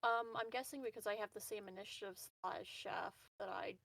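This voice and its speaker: A woman's voice: medium pitch, steady tone, brisk, creaky, a bit bright, distant mic. A woman chatting casually as a player in a tabletop game.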